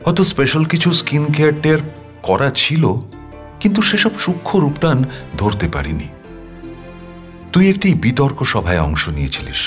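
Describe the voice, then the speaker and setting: A man's voice: medium pitch, very animated, fairly quick, pauses often, natural voice. A man narrating an audio story as a wistful young man writing a confessional love letter, speaking in a reflective, intimate way over music. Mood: wistful, fond. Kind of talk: first-person dramatized storytelling, read as a letter.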